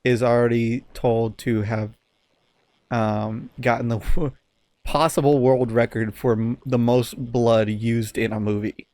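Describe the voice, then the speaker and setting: A man co-hosting a podcast in casual chat, halting as he searches for his words. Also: mid-range voice, steady tone, a little mellow. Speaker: podcast co-host.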